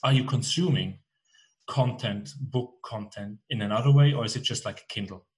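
A man's voice: medium pitch, normal speed, a little mellow. A man hosting a podcast, asking questions in a loose, searching way that often restarts mid-sentence. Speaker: podcast host. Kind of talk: casual interview chat.

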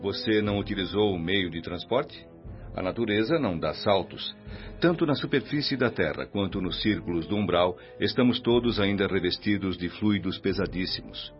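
Guy playing calm wise supervisor in a brazilian radio play, really low voice, reassuring, faint music behind. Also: dull audio, natural voice. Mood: patient, instructive. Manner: didactic, measured, reassuring. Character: calm, kindly male spirit mentor and supervisor. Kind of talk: Brazilian radio drama acting.

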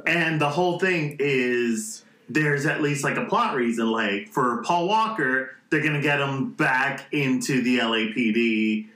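A man's voice: medium pitch, unhurried, few pauses, pushed and bright. A man co-hosting a podcast, chatting in an easygoing way and walking through the plot. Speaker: podcast co-host. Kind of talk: casual chat. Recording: echoey room.

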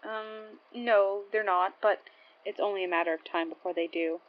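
Seasoned paranormal investigator, female actress, friendly and matter-of-fact, natural drama delivery, medium pitch, slightly muffled recording. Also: fairly even intonation, normal speed, close to an everyday voice. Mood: casual, matter-of-fact. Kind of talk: naturalistic audio drama acting.

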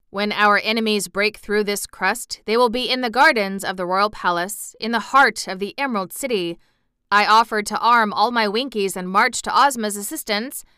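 A woman voicing a kindly, anxious emperor made of tin in a storybook, speaking formally and earnestly in a measured way. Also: medium pitch, pushed and bright, clean tone, no echo, clean studio sound, voice pushed up, close to an everyday voice. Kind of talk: storybook narration character voice.

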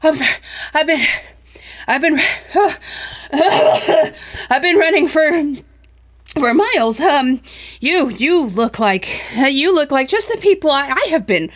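A woman's voice: sits high, big pitch swings, measured pace, voice pushed up. A woman voicing a flustered, naked middle-aged male wizard who stammers apologies.

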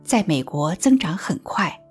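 A woman narrating a scripted radio drama in a measured, dramatic manner. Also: lowish voice, lively intonation, faint music behind.

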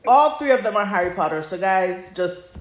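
A woman's voice: mid-range voice, roomy sound. A woman co-hosting a podcast in casual chat, recapping stories in an informal, conversational way. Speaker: podcast co-host.

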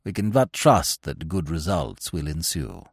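A man's voice: sits low, very animated, a little slow, creaky, husky, no echo, clean studio sound, pitched below the performer's own voice, full character voice. A man voicing a grave, unflappable middle-aged valet who speaks formally and deferentially. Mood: composed.

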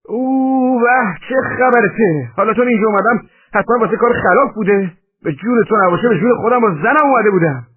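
A man's voice: mid-range voice, big pitch swings, breathy edge. A man voicing a brash, blustering, argumentative male intruder, on a tinny, band-limited recording. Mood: flustered pleading.

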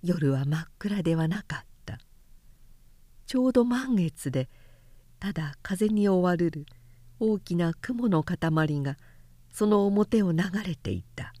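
A woman narrating literary fiction aloud as an audiobook, with measured, deliberate phrasing and pauses. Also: fairly low pitch, lively intonation, warm tone, no echo. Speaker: narrator reading a novel aloud.

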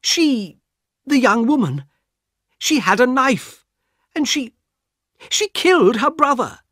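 A man's voice: very high voice, big pitch swings, slow, fairly bright tone, no room sound, clean studio sound, voice pushed up, full character voice. A man voicing a frightened male train passenger who pleads in a breathless, panicky way. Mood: frantic. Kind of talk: audiobook character voice.

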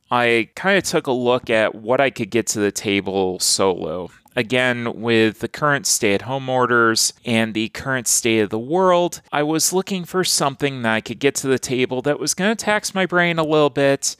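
A man's voice: medium pitch, a bit bright, smooth, no room sound, studio quality. A man talking through a board game review in a plain, earnest, matter-of-fact way. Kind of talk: casual solo review.

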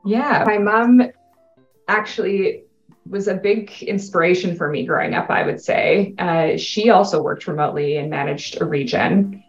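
A Canadian woman with a lowish voice chats easily as an interview guest, upbeat and quick to laugh. Her voice comes through a dull, muffled line in an echoey room. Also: soft background music. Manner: upbeat, laughs often, chatty. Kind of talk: casual interview chat.

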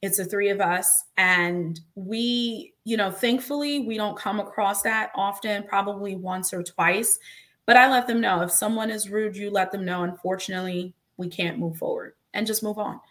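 Expressive woman in casual conversation. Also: medium pitch, normal speed. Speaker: host and attorney. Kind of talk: casual podcast-style conversation.

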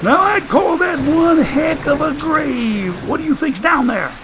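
A man voicing a brash, folksy, excitable wisecracker in an audiobook story. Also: very high voice, a little slow, noisy background, voice pushed up. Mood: curious, amazed. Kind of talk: audiobook character voice.

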